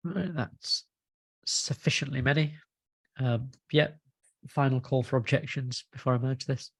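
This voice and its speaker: A man with a husky, gravelly voice at a middle pitch runs a work call. He moves casually through agenda items, with a dry, close sound. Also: fairly even intonation, unhurried, dry recording. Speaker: meeting chair. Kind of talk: casual meeting facilitation. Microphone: video call.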